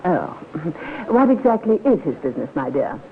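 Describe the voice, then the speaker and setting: An actress playing a genteel, inquisitive widow in a 1950s radio drama, arch and politely gushing. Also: female voice, low-pitched, very animated, normal speed. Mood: politely piqued. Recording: tinny, band-limited old radio recording.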